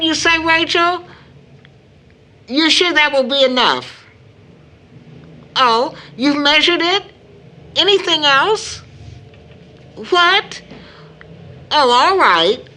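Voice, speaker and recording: An actress playing a devout, gentle middle-aged mother in an audio drama, earnest and measured. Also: fairly high pitch, a little hiss or hum, heavily acted. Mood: brisk, motherly. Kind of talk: stage-style audio drama acting.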